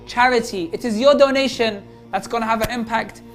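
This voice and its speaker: A man appearing as a guest on a live charity broadcast, eagerly pitching the appeal to viewers. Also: a little fast. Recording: music in the background.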